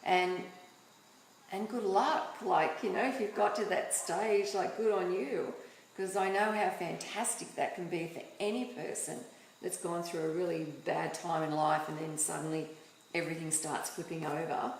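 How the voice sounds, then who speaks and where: A woman's voice: fairly low pitch, steady tone, moderate pace, echoey room, slight background noise, low-quality recording. A woman hosting a casual interview, chatting freely and leaning on fillers like "like" and "you know". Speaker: talk show host.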